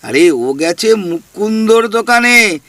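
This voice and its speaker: A sly, teasing male ghost from a radio play, acted by a man, with a high, bright voice that leaps up and down. He spills secrets in a needling tone. The sound is dry and close. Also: animated, takes it slowly, no room sound, full character voice.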